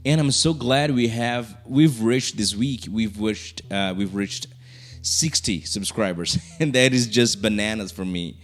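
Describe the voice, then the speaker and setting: A young man from Brazil with a Brazilian Portuguese accent, speaking English as a second language. He talks casually to camera and often restarts his sentences. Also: fairly low pitch. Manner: halting, many restarts and fillers. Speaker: YouTuber. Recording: soft music underneath.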